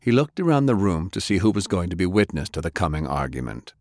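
A man reading an audiobook in a measured, expressive way. His voice sits on the low side, and the studio sound is dry and close. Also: no room sound. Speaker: audiobook narrator.

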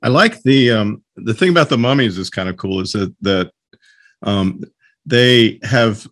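A man hosting a podcast interview in a casual, halting way, often searching for words. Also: deep voice, fairly bright tone, dry recording, clean studio sound.

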